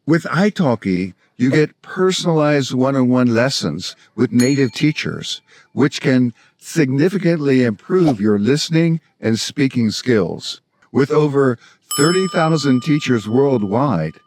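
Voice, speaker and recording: A man in his sixties from South Florida with an American accent, presenting scripted language-learning instruction in a measured, explanatory way. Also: mid-range voice, lively intonation, a little mellow, slight background noise. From South Florida, United States. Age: 60s. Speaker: language-learning video host, polyglot. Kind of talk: scripted instructional narration to camera.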